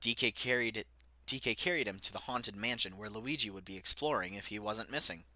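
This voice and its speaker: A man's voice: fairly low pitch, fairly quick, bright, forceful tone, dry recording. A man casually reading aloud a story he wrote as a child, with amused, self-mocking asides and music playing behind. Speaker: reader of his own childhood book.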